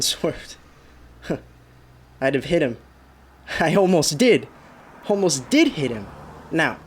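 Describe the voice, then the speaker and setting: A man acting a haunted man in his thirties who recounts his road trip in a radio drama, urgent and halting. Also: on the high side, a little hiss or hum, natural voice.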